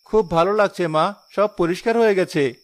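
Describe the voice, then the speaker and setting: A man's voice: sits high, moderate pace, close to an everyday voice. A man playing a haunted, resigned young village son in a horror cartoon, solemn and fatalistic. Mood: resigned calm.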